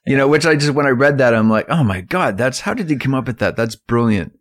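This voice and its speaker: A man's voice: medium pitch, expressive, fast. A man co-hosting a podcast, talking casually with an enthusiastic, admiring manner. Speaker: podcast co-host.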